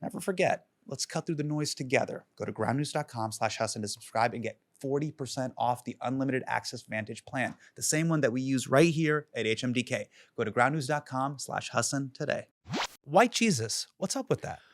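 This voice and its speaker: A man in his forties hosting a show, moving between comedic monologue and interview with a quick, playful, punchline-driven delivery. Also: medium pitch, lively intonation, no echo. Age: 40s. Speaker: host.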